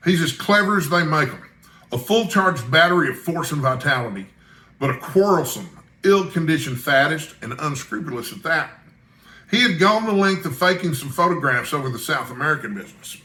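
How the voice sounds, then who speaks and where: A man's voice: fairly high pitch, animated, brisk, bright, forceful tone, reverberant. A man voicing a blunt, detached, gossipy bacteriologist in an audiobook, with a dry, wry, bookish manner. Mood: gossipy, disapproving. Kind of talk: audiobook character dialogue.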